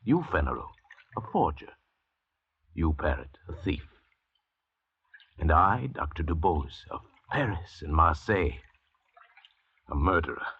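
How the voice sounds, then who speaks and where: An actor playing a suave, arrogant French murderer in an old-time radio drama, speaking in deliberate, pompous oratory. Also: male voice, very deep voice, animated, husky, heavily acted. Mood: grandly contemptuous. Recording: dull, lo-fi vintage radio recording.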